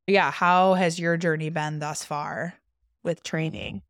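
A co-host in a casual chat reflects aloud at a mid pitch, speaking conversationally with plenty of fillers. The recording is dry and close, with no room sound. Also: fairly even intonation, slow, no echo. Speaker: podcast co-host.

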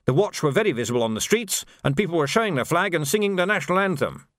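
A man's voice: a little high, very animated, quick pace, fairly bright tone, no echo, studio quality, voice pushed up. A man voicing a newly promoted army major who sounds prim and flustered. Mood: uneasy.

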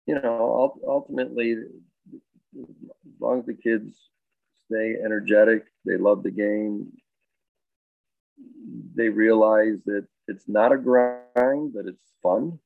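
Low gravelly guy, coach type, rambly with lots of "you know", tinny phone sound. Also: steady tone, slow pace, long pauses, no room sound. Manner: thoughtful, rambling with many fillers and restarts. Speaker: high school baseball coach and health teacher. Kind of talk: casual interview answers. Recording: dry, tinny phone-like line.

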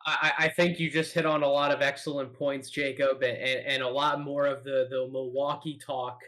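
A man hosting a sports podcast, his voice bright and forward. He talks in an upbeat, casual way and stumbles over repeated words when he restarts. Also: medium pitch, little pitch movement, normal speed. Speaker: podcast host.

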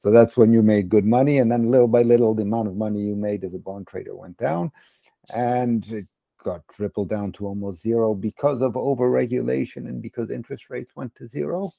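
A middle-aged man from the United States talks casually as an interview guest. His voice is heavily creaky and dark, and he explains in a halting way, restating phrases. The recording is dry and close. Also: mid-range voice, steady tone, no room sound. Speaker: podcast guest, crypto educator and former bond trader.

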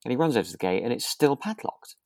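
A man's voice: medium pitch, animated, fast talker, darkish tone, no echo. A man co-hosting a podcast, casually discussing a drama and qualifying his points as he goes. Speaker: podcast co-host. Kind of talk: relaxed conversational discussion of a radio drama.

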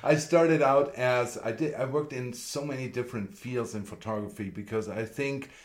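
A man's voice: medium pitch, moderate pace. A man, a photographer guest, chatting casually and self-deprecatingly in an interview. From Germany and Austria.

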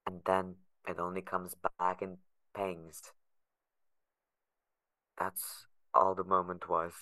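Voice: low-pitched, bright, forceful tone, no echo, poor audio. An actor playing a sardonic, guarded young adult patient held in a facility, narrating in the first person with bitter sarcasm.

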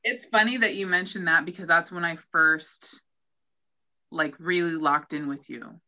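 Bright-voiced woman podcast host. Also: fairly low pitch, measured pace. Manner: animated, acts out her own past thoughts. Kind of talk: casual interview chat. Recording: dull lo-fi audio.

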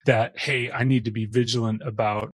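A man's voice: medium pitch, flat delivery, moderate pace, dry recording. A man in his forties, raised in Missouri, chatting as a podcast guest in a rambling, self-deprecating way. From born in Austria, raised in Missouri. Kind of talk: casual interview chat.

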